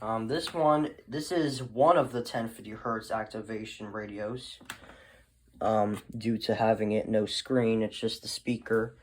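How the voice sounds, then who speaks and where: A man's voice: on the low side, steady tone, unhurried, slightly rough. Casual, rambling man showing off gear. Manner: rambling, trailing off with short asides. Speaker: hobbyist video host. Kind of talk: casual unscripted show-and-tell.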